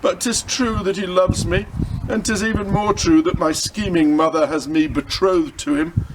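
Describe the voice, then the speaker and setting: A man's voice: sits high, steady tone, moderate pace, background noise, close to an everyday voice. A man voicing a scornful young Tudor noblewoman in a storybook, with archaic, disdainful phrasing.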